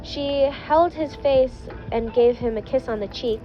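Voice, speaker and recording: A woman's voice: on the high side, unhurried. A woman reading fan fiction aloud as scripted narration. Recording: dull lo-fi audio. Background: music.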